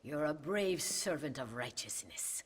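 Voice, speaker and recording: An actress playing a devout older Mexican mother superior in a Western video game, gracious yet sharp-tongued, with a Mexican Spanish accent and a husky voice. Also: sits low, expressive, a little slow, heavily acted. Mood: pleased, gracious. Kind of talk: video game cutscene acting. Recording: long church reverb.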